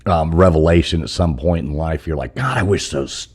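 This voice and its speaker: Man, very deep, husky and mellow, joking co-host. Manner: joking, rambling, halting restarts. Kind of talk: casual chat.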